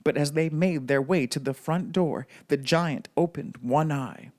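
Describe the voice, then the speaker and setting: Slow-paced man reading a bedtime story. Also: fairly high pitch, a little mellow. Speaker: storybook reader.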